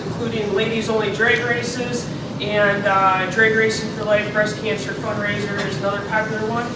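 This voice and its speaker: Chatty woman lecturing in an echoey hall. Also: mid-range voice. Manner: chatty, joking, lots of fillers. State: has a cold. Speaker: seminar presenter. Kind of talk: marketing seminar talk. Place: expo seminar room. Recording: echoey hall recorded from far off, noisy.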